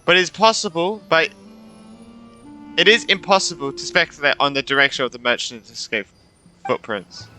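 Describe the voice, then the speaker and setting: A man's voice: fairly high pitch, animated, normal speed, long pauses, bright, forceful tone, faint music behind. A man giving casual let's-play commentary, thinking aloud, repeating himself and reading game dialogue out. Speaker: gaming streamer.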